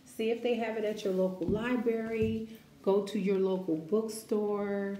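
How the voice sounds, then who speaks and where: A woman reads a picture book to children in an encouraging, expressive way. Her voice is mellow and her delivery slow and deliberate, leaning on key words. The room sounds echoey around her. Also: mid-range voice. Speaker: children's story-time reader. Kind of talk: picture-book read-aloud with asides to children. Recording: echoey room with faint background noise.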